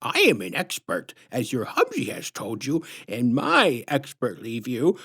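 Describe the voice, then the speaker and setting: A menacing clown woman, high-pitched, sing-song baby talk, odd strange timbre, storybook villain. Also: heavily acted. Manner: baby-talk rhymes, sickly sweet. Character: sinister huge female clown-faced child-taker. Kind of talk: storybook reading.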